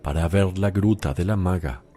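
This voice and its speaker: A man playing Jesus in a religious radio drama, a calm, solemn teacher who speaks in a measured, firm way. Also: sits low.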